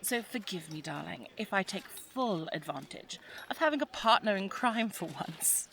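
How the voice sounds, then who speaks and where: A woman's voice: mid-range voice, animated, moderate pace, gravelly, fairly bright tone. A woman playing a spirited, teasing young English lady of the 1920s in an audio drama, chatty and well-spoken with an English accent. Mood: teasing, pleased. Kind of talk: audio roleplay drama, addressed to the listener.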